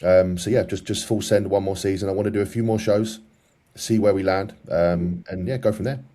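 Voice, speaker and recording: Male podcast co-host, British. Low, very quick delivery, casual and encouraging. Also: fairly even intonation, a crowd in the background.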